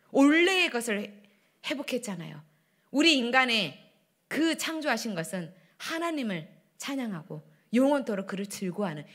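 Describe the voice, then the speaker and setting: A middle-aged woman pastor preaching a sermon at a church pulpit, swinging between loud declarations and hushed asides. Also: sits high. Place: church sanctuary, standing at pulpit. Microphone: pulpit microphones.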